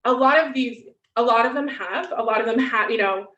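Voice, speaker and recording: Woman guest lecturer, bright and pushy tone, casual Q&A manner, roomy lo-fi call audio. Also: fairly high pitch, brisk, a little hiss or hum. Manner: conversational, frequent "um" and "right?" tags. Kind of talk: online lecture with Q&A. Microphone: video call. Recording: distant, roomy, dull video-call audio.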